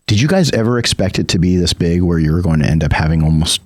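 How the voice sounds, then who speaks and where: A man's voice: low-pitched, animated, fast, a little mellow, no echo. A middle-aged man hosting an interview podcast, friendly and asking long leading questions, recorded in a studio. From Iran, raised in the United States. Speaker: podcast host.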